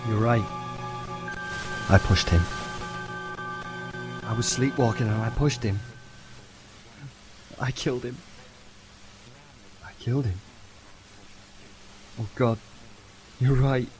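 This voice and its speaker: An actor playing a guilt-ridden, desperate young insomniac in a horror audio drama, anguished and pleading. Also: male voice, medium pitch, slow pace, long pauses, natural voice, low-quality recording. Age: young adult. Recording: loud music under the voice.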